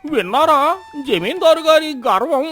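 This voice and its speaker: A man voicing an excitable, emphatic gossiping villager in a moral story. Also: very high voice, normal speed, faint music behind. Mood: excited, admiring. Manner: emphatic, animated. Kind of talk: Telugu moral story dubbing.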